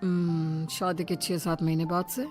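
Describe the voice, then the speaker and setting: A woman voicing a calm, placating elderly father-in-law in a cartoon moral story, speaking in a measured, soothing way. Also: lowish voice, natural voice. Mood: reflective. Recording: music behind the voice.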